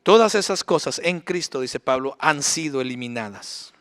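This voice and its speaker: A man's voice: mid-range voice, lively intonation, normal speed, fairly bright tone. A middle-aged male pastor preaching a sermon in a church, emphatic, with rhetorical questions. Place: church sanctuary.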